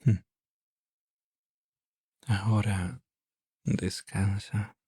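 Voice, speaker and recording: A man playing a gentle vampire in an ASMR roleplay, murmuring and whispering soft, breathy reassurance with long pauses to lull someone to sleep. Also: lowish voice, little pitch movement, no room sound, studio quality, heavily acted. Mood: tender, soothing. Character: gentle male vampire soothing an adventurer to sleep.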